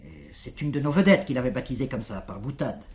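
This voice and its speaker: A man playing an earnest, excitable music-hall director in a French stage comedy, with a declamatory manner. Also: mid-range voice, fairly quick, close to an everyday voice. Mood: hesitant, fond.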